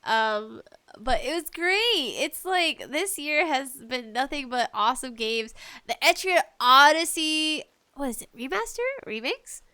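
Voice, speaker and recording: A woman hosting a casual, rambling solo podcast chat, enthusiastic and animated in her emphasis. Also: sits high, a little slow. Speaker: podcast host talking about video games.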